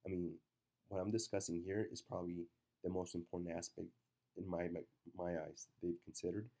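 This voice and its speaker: Low, flat-voiced man with a stuffy cold. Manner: halting, many fillers and restarts. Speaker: market commentator. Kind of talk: casual market commentary.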